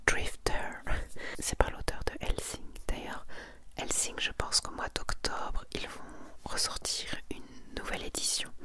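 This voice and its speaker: A woman speaking in a soft, breathy ASMR whisper, hushed and intimate, presenting and reading aloud in a casual way. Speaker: ASMR creator presenting a manga collection.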